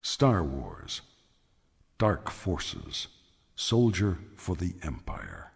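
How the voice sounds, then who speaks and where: A man's voice: sits low, huge pitch swings, vocal fry. Solemn male narrator with dramatic, varied delivery. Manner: solemn, measured, portentous. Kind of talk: dramatic scripted narration of space saga. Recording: music under the voice.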